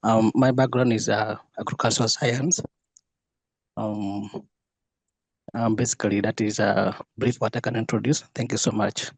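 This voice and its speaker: Guy, quiet hesitant intro, gravelly husky voice, dry sound. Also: on the low side, little pitch movement, normal speed, dry recording, rough recording. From Uganda. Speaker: agricultural scientist. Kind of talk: self-introduction in meeting.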